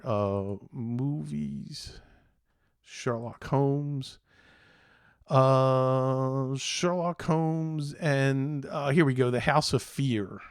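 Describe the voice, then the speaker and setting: A middle-aged man hosting a tech show, chatting casually to viewers in a rambling, halting, enthusiastic way. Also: mid-range voice, a little mellow, clear, resonant tone, dry recording, clean studio sound. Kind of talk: casual solo chat to viewers.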